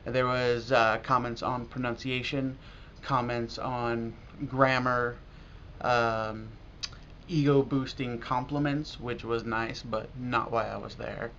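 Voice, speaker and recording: A man's voice: medium pitch, little pitch movement, slow pace, fairly bright tone. American man, casual vlog, dull noisy audio. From United States. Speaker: language learner making video blogs. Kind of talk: casual talk to camera about learning. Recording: dull lo-fi audio with clear noise.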